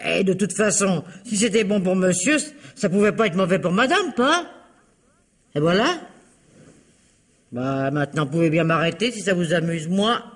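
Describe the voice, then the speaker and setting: Actress as a stubborn, chatty French maid. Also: female voice, mid-range voice, lightly acted. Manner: colloquial, emphatic, indignantly defensive. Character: chatty, stubborn, self-justifying working-class woman servant. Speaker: radio actor. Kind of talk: 1950s French radio mystery acting.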